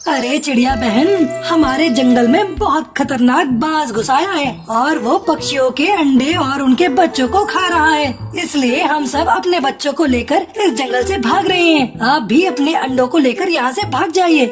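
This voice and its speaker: A woman's voice: sits high, moderate pace, few pauses, music in the background, lightly acted. A woman voicing a kindly, alarmed uncle bird in a children's cartoon, giving an urgent warning. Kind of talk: Hindi children's cartoon story acting.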